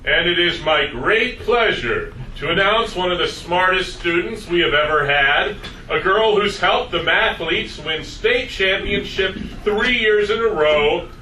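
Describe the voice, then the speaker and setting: A man plays a genial professional with a bright, sharp, high voice. He is recorded at a distance in an echoey room with faint noise. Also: lively intonation, a little slow, full character voice. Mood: proud, formal. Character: genial laboratory doctor, later proud school principal. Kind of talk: screenplay table read.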